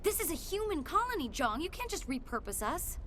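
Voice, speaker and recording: An actress playing an earnest, guilt-ridden young colony scientist in a dramatic video game. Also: female voice, high-pitched, sing-song, a little fast, a bit bright, a little hiss or hum. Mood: indignant. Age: young adult.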